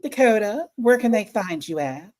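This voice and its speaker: A woman's voice: mid-range voice, lively intonation, normal speed, a little mellow. A woman in her forties hosting a casual podcast chat, brash and teasing. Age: 40s. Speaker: podcast host.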